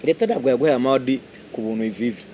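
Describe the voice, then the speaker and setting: Fast-talking, animated man presenter. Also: medium pitch, slight background noise. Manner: animated, quizzing listeners. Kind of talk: lively on-site promo talk.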